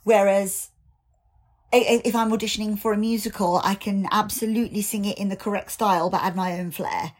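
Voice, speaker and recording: A woman in her thirties, a singer and actor, speaking candidly and reflectively in a podcast interview, her voice slightly raspy, with ums and restarts. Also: medium pitch, fairly even intonation, a little fast. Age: 30s.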